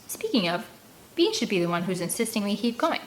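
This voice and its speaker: Woman reading aloud, clear background noise. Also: mid-range voice, brisk. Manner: animated, acts out dialogue. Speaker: audiobook reader. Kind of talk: expressive read-aloud of a children's novel, first person.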